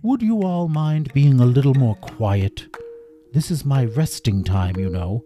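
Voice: mid-range voice, animated, measured pace, dark tone, soft background music, pitched above the performer's own voice, close to an everyday voice. A storyteller voicing a dignified, weary male bird of prey with a formal, projecting manner in a children's story. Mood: grumpy.

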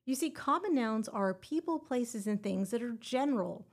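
A woman's voice: fairly high pitch, animated, normal speed. A woman hosting a teacher-exam prep podcast, talking in a playful, encouraging way with little puns. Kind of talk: scripted educational podcast.

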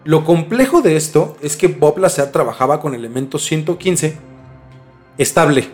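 A man's voice: fairly high pitch, lively intonation, normal speed, faint music behind. A man with a Mexican accent explaining things in casual conversation as a podcast co-host, informally and repeating words for emphasis.

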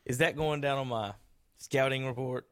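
A man's voice: mid-range voice, steady tone, moderate pace, fairly bright tone, clean tone, no room sound. A man hosting a sports talk radio show in a studio, chatting loosely with lots of fillers and teasing his co-hosts. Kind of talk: casual studio banter and sponsor reads. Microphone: studio microphone with headphones.

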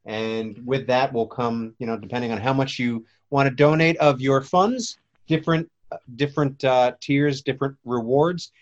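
A man's voice: medium pitch, fairly even intonation, moderate pace, muffled recording. A man co-hosting a podcast, chatting casually in a joking, upbeat way. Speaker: podcast co-host. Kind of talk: casual chat.

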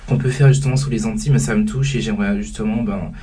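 A young man speaks hesitantly on a student panel, filling his pauses and restarting phrases. His voice is mellow and slightly husky. He sounds set back from the microphone. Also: lowish voice, fairly even intonation, recorded from a distance. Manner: hesitant, many fillers and restarts. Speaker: student association president, guest. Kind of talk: casual panel discussion.

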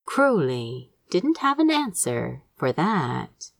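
A woman reading a scripted story as narrator, in an expressive, dramatic storytelling manner. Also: low-pitched, takes it slowly, creaky, dry recording. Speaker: fan fiction audiobook narrator.

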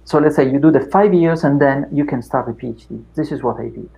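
A middle-aged man with an Italian accent, Italian his first language, talking through his past in an unhurried interview with many fillers and restarts. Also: medium pitch, vocal fry, mellow, poor audio. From Italy. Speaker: academic guest. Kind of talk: reflective interview chat.

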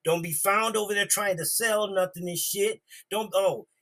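A man's voice: sits high, fairly even intonation, normal speed, fairly bright tone. A man talking bluntly and profanely in a casual, heated group discussion. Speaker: podcast discussion participant.

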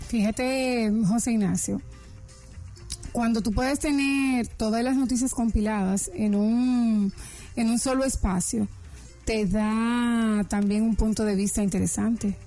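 A woman's voice: on the high side, fairly even intonation, slow, mellow, faint music behind. A woman co-hosting a radio interview, enthusiastic and wordy in her comments. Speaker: co-host.

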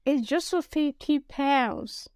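A woman's voice: sits high, slow, darkish tone, no room sound, studio quality. A woman from Nigeria with a Nigerian English accent, giving an emphatic podcast lesson as a business English coach who speaks straight to the listener. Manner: emphatic, addresses the listener directly.

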